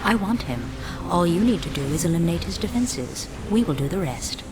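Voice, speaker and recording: A woman's voice: on the low side, big pitch swings, fairly quick, heavy background noise. A woman playing a cold, determined rebel leader in a video game, speaking formally with assured menace. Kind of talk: scripted video game dialogue. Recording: loud music behind the voice.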